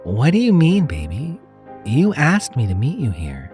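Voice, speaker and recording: A seductive shapeshifter in the form of a cruel woman, acted out by a man. The voice is lifted high and is coy and taunting, as in a horror tale, with clear music playing behind it. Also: huge pitch swings, unhurried, darkish tone. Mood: sweet, flirtatious. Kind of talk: horror story character voice.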